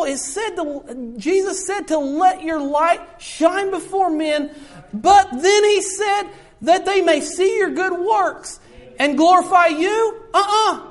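A man preaching a sermon in a notably high, light voice. He talks earnestly and circles back on his points, often turning to the congregation to address them directly. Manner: earnest, repetitive, often addresses listeners as folks.

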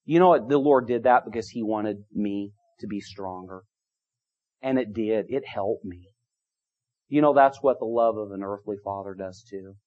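A man's voice: lowish voice, normal speed. Emphatic middle-aged male preacher, lo-fi. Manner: emphatic, repetitive preaching with rising and falling volume. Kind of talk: sermon. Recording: dull lo-fi sound.

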